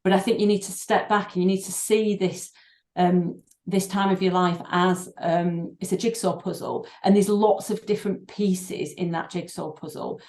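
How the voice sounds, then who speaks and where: Woman, 50s, low pitch, halting, thoughtful, fillers, echoey room. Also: fairly even intonation, a little mellow. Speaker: guest doctor and breathing therapist. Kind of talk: podcast interview.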